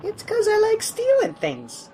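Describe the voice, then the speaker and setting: A man voicing a small, mischievous, thieving monkey-like alien rebel with a squeaky voice in an audio drama. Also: wildly swooping pitch, measured pace, heavily acted. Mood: sly, eager. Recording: noisy track with clicks and beeps.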